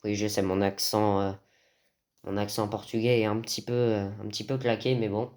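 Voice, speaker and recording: A man's voice: fairly low pitch, level tone, normal speed, darkish tone. Hesitant Frenchman commentating a football game. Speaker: gaming video host.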